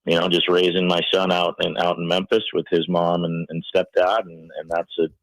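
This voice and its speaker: A man's voice: sits low, level tone, moderate pace, no echo, low-quality recording. A middle-aged American man chatting casually as a radio interview guest, with a joking, self-deprecating manner.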